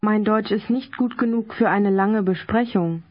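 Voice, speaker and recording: A woman speaking scripted model sentences for a language course in a measured, even way. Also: medium pitch. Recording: dull lo-fi tape audio.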